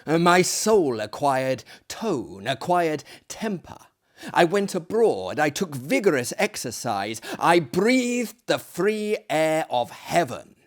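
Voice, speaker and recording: A man performing as a reader of dramatic tales in a hammy, declamatory manner that swells and drops to hushes. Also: a little high, very animated, slow. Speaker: reader and host of dramatic readings. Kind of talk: theatrical dramatic reading of a gothic story.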